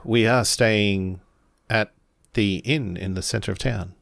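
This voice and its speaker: Tabletop game: apologetic samurai, acted by a man, very unhurried, hesitant, dry close sound. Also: fairly low pitch, steady tone, gravelly, a bit bright, no room sound. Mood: uneasy, polite. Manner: hesitant, halting. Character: awkward, apologetic, courteous samurai retainer.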